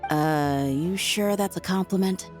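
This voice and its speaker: An actress playing a friendly, no-nonsense adventurer in a video game. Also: female voice, lowish voice, unhurried, a little mellow, faint music behind, close to an everyday voice. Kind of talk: video game character acting.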